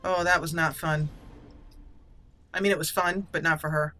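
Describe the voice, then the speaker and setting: A woman's voice: sits low, fairly even intonation, moderate pace, over music. A woman giving casual roleplay commentary as she plays a game, talking to her character and musing in role. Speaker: gaming channel host. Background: video game sounds.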